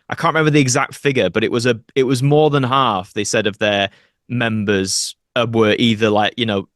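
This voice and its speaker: A man's voice: mid-range voice, fairly bright tone, no room sound, clean studio sound. A British man talking casually as a podcast guest, explaining things in an earnest, conversational way. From United Kingdom.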